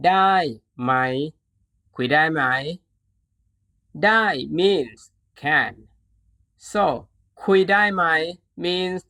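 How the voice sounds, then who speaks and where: A language teacher presents a scripted phrase lesson in a bright, forward voice. The delivery is very slow, with lively pitch movement. Phrases are broken down syllable by syllable, repeated and then explained with deliberate enunciation.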